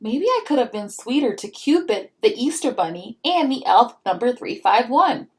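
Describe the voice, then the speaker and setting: A woman's voice: a little high, big pitch swings, normal speed. A woman voicing a dramatic little girl who craves sweets and tells her own storybook tale with exaggerated emphasis. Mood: puzzled, rueful.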